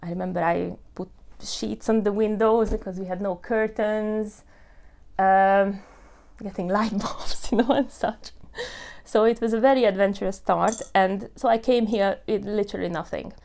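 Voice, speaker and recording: Smooth-voiced woman in her thirties. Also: medium pitch, steady tone, normal speed, a little mellow. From Hungary. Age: 30s. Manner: earnest, with many fillers like "you know" and "uh". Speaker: tenant telling her story. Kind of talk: personal testimony.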